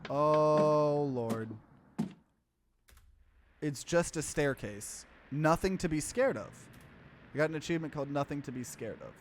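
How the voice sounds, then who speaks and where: A man doing a let's play, reading game text aloud and breaking in with animated, bewildered reactions. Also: a little high, measured pace, pauses often, slight background noise.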